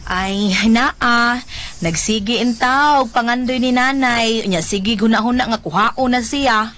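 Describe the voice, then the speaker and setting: High-voiced, worried, whining daughter. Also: female voice, a little slow, a bit bright. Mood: exasperated. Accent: Bisaya. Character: worried, tearful daughter, exasperated but loving. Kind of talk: comic Bisaya radio drama acting.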